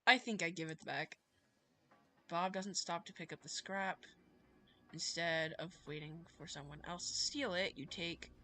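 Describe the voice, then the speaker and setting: A woman's voice: on the low side, sharp tone. A woman livestreamer reading a visual novel aloud, narrating dramatically and breaking off into playful asides. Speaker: streamer. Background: prominent music with plucked guitar.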